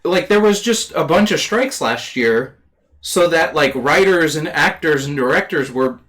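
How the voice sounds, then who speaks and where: Animated twenty-something podcast voice in casual chat. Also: medium pitch, measured pace. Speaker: podcast co-host.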